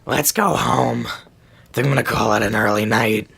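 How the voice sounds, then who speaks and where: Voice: mid-range voice, moderate pace, creaky, fairly bright tone, a little hiss or hum. A performer playing Abby, a blunt, sweary, sardonic wasteland girl in a comic radio play. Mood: dejected.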